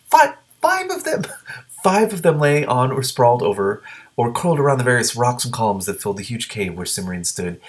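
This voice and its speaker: Male book reader, low pitch, excitable, sweary asides between narrated passages. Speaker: reader and commentator. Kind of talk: reading a book aloud with reactions.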